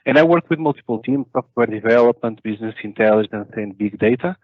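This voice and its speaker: A man's voice: mid-range voice, no echo. Portuguese guy on a webcam talk, lots of uhs, dull dry sound. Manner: halting, many filler uhs and restarts. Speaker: conference speaker. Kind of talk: online conference talk. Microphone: video call webcam.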